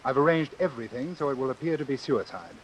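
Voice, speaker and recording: A cold, calculating doctor acted by a man from an old suspense radio play. He speaks formally and precisely at a middle pitch, over faint recording hiss. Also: fast talker, muffled recording, lightly acted. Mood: smooth, businesslike. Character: cold, calculating male doctor obsessed with his experiment. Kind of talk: vintage suspense radio drama narration and acting.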